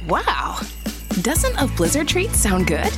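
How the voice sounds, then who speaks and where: Animated woman reading an advert over music. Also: a little high, slow. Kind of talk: scripted advertising narration.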